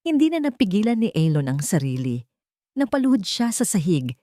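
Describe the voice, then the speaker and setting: A woman narrating a scripted story in a theatrical, suspenseful way with deliberate pauses. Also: mid-range voice, very animated, warm tone, dry recording, studio quality. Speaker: audiobook narrator.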